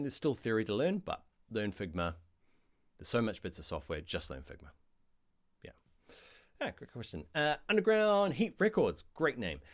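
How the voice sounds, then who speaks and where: Kiwi guy, low voice that jumps around a lot, chatty q&a, reads out questions. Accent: New Zealand. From New Zealand. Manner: chatty, reads viewer questions aloud, animated asides. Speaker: online design course instructor. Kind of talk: casual live Q&A.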